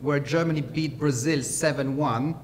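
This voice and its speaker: A middle-aged man with an Austrian accent delivers a workshop lecture. His voice sits a little high for a man, and he talks in a measured way, stopping often for small fillers. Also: a little hiss or hum, rough recording.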